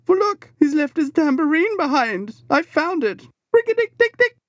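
A man's voice: very high-pitched, sing-song, normal speed, a bit bright, dry recording, clean studio sound, soft background music, pitched above the performer's own voice, full character voice. A man voicing a mad young cabin boy in an audiobook, grieving and frenzied, with wild chanting and repeated laments. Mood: plaintive, tender.

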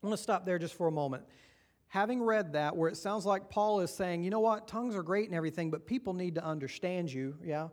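A man with a Southern American accent preaches in a church, and his voice sits high in pitch. He keeps the sermon conversational and often checks in with the congregation through questions. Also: quick pace, a little mellow. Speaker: pastor.

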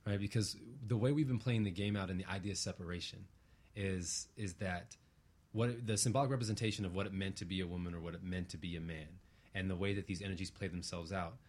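Low-voiced man talking spiritual ideas. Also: fairly even intonation, fast, darkish tone. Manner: earnest, abstract New Age phrasing, often seeking agreement. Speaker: interview guest. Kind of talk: reflective spiritual discussion.

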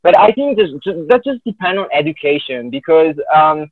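A man's voice: on the high side, very animated, normal speed, dry recording. Man on a tinny line, hesitant. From Italy. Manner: hesitant, many restarts and fillers. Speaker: guest. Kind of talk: casual conversation.

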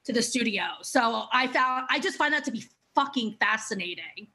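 Bright fairly high-voiced woman host, gushing, swears a lot, tons of likes and ums. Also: lively intonation, moderate pace. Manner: effusive, swears casually, lots of fillers. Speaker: interview host. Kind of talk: casual interview chat.

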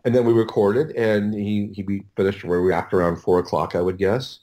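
Podcast guest: American male, middle-aged, slightly low pitch, loose halting storytelling with frequent self-corrections. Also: little pitch movement, mellow. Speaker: audiobook producer, podcast guest. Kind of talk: casual interview storytelling.

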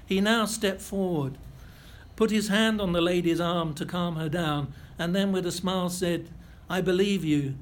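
High-voiced man giving a lecture. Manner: measured, precise storytelling with occasional self-corrections. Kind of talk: reading a prepared memoir-style lecture.

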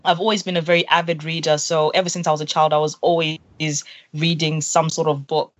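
A woman's voice: deep voice, level tone, a little fast, no room sound. A young woman from Zimbabwe explains her path in a podcast interview, earnest and full of little fillers. The sound is dry and close, with no room around it. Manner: earnest, lots of fillers and "literally". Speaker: co-founder guest. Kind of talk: interview conversation.

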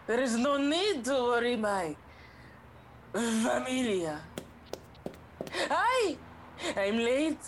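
A young woman voicing a lovesick young heroine torn between duty and an old flame, all melodrama and sighs. She talks slowly and sits fairly high, with a faint hiss behind her in this comedic radio play. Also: sing-song, heavily acted. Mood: flustered. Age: young adult. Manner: melodramatic, dotted with Spanish words.

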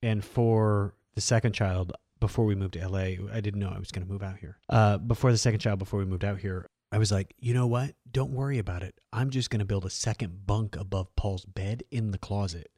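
A middle-aged man hosting a casual studio interview, relaxed and jokey, asking quick questions. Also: on the low side, no echo. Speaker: interviewer, host. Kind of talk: casual conversation.

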